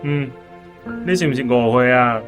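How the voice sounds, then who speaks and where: A man's voice: medium pitch, normal speed, music in the background, lightly acted. An actor with a Taiwanese accent playing a questioning young military policeman in a radio drama. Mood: questioning, doubtful.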